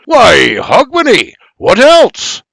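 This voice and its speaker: A man's voice: mid-range voice, sing-song, takes it slowly, dry recording, exaggerated voice. A man voicing a stubborn, cheerful old Scottish man in a Scottish accent who lectures with plenty of Scots dialect. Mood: insistent. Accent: Scottish, as played.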